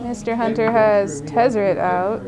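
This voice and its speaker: A woman's voice: fairly high pitch, fairly even intonation, normal speed. A woman co-hosting a game-shop live stream with casual commentary. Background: guitar music.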